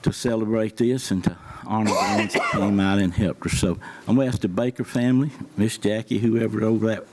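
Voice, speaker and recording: A man's voice: lowish voice, fairly quick, hardly any pauses, heavy background noise. A man with a Southern accent giving plain-spoken remarks at a county meeting as an emergency services official. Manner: plain-spoken, many fillers. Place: county board meeting room.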